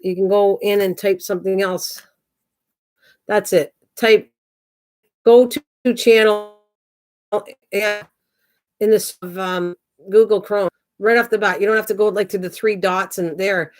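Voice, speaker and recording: A woman's voice: mid-range voice, steady tone, takes it slowly, pauses often. Chatty woman in her sixties. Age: 60s. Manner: chatty, rambling, talks back to chat by name. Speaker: craft channel host. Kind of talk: casual livestream chat reading viewer comments.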